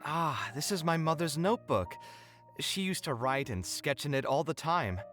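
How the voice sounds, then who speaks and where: An earnest young architect speaks in polished anime game dialogue. He is expressive and a touch wry, with an articulate, reflective storytelling delivery. Music plays under the voice. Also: a little high, moderate pace. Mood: curious, wistful. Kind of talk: anime-style video game voice acting.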